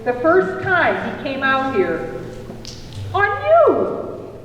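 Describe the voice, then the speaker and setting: A woman playing a kindly motherly cafe keeper in a live puppet show, telling tales in a deliberate, expressive way. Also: high voice, heavily acted. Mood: earnest recounting. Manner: deliberate, expressive storytelling to children. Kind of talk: live storytelling puppet theatre. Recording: echoey hall from a distance, music behind.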